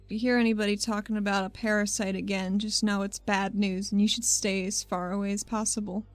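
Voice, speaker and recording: A woman's voice: mid-range voice, little pitch movement, brisk, no room sound, faint music behind, natural voice. A woman playing an earnest, apologetic but determined adventurer in a tabletop roleplay, speaking politely and hesitantly. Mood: concerned.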